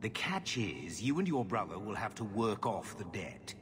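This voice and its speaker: An actor playing a shifty, weary middle-aged uncle in a video game, gruff and evasive. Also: male voice, on the low side, sing-song, normal speed, noisy background. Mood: grudging, persuasive. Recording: music playing behind the voice.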